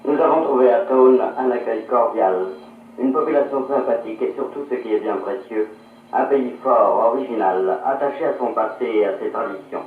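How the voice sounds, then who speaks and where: A man's voice: mid-range voice, normal speed, echoey room, phone-quality sound. A man working as a radio interviewer in 1950s style, formal and carefully phrased, on an old archive recording. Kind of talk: scripted 1950s radio reporting. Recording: scratchy 1950s radio archive, distant, band-limited.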